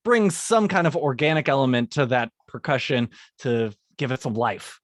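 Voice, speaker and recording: A man's voice: medium pitch, lively intonation, normal speed, no echo, studio quality. A man, a music composer, explaining how he built his theme piece, partly reading and correcting himself as he goes. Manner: restarts and corrects his script lines.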